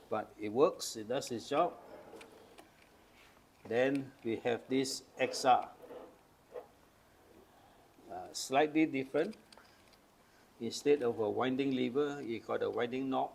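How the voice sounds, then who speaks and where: A man's voice: mid-range voice, slow, vocal fry, pauses often, darkish tone, a little hiss or hum, rough recording. An older man from Malaysia casually demonstrating old cameras, explaining each step patiently. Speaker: camera collector. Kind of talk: casual show-and-tell demonstration.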